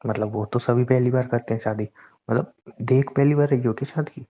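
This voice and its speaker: A man's voice: mid-range voice, steady tone, a little fast, dark tone, lightly acted. A young man playing a teasing, boastful newlywed husband in casual, bantering audio-drama dialogue. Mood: mock exasperated. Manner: informal, bantering. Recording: dull lo-fi phone-call style audio.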